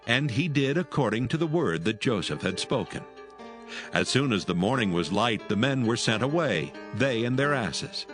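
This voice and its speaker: A man's voice: medium pitch, animated, normal speed, music in the background. A man narrating solemn scripture as part of a dramatised audio Bible.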